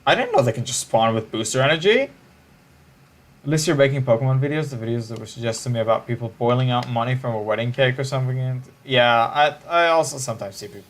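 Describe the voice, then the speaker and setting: A man's voice: mid-range voice, lively intonation, fast talker. Man, twenties, casual livestream talk, musing, restarts often. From Israel. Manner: musing, hesitant, with frequent restarts.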